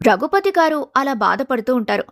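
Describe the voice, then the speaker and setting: Higher-pitched woman narrating a story. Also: very animated, moderate pace, no echo, clean studio sound.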